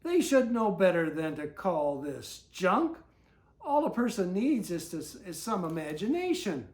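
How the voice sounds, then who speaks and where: Woman as junkyard dad, storybook character, gruff, encouraging, hearty, big pitch swings, measured pace. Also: sing-song, a little mellow. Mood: indignant, encouraging. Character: gruff, encouraging stepfather who runs a junkyard.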